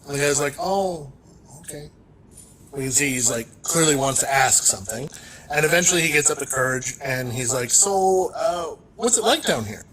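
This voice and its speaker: A man's voice: a little high, animated, normal speed, poor audio. A man with a slightly raised voice tells a playful story and acts out each person in it. The chatter of a crowd carries on behind him. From Canada. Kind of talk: storytelling anecdote.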